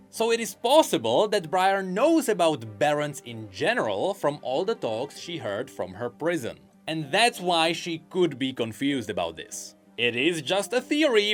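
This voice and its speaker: A man commenting on game lore in a chatty, speculative voice-over. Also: on the high side.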